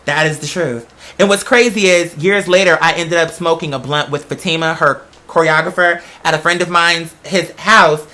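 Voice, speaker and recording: A very bright, forceful voice at a middle pitch tells a personal story conversationally. The speaker is candid and informal, using slang and the odd swear word. Key phrases get repeated for emphasis.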